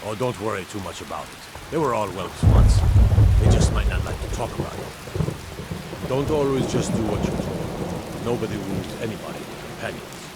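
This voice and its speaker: Low-voiced old blacksmith played by a man in loud rain. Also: animated, normal speed, noisy background, natural voice. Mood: proud, earnest. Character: gruff, honest old male blacksmith, proud of his craft. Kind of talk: fantasy video game dialogue. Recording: game dialogue under loud rain and storm.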